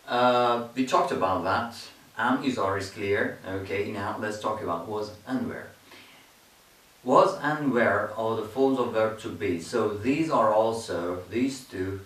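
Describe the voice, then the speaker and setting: A man's voice: lowish voice, a bit bright. A man teaching an English grammar lesson, explaining patiently, repeating points and often checking in with "okay?". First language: Persian. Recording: roomy, distant mic.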